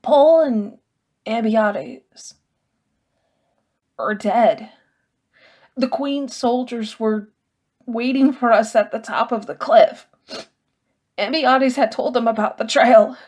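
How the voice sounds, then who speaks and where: A woman voicing an anxious, earnest boy in an audiobook, speaking in a hushed way. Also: on the high side, slow pace, pauses often, pitched above the performer's own voice. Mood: grave, earnest. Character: anxious, earnest boy companion bearing grim news.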